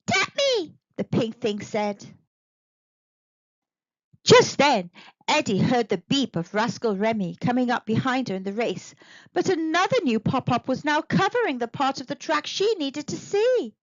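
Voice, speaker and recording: High, animated woman reading a storybook. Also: no echo, highs cut off. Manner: animated, deliberate. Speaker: storybook narrator. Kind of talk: expressive children's read-aloud narration.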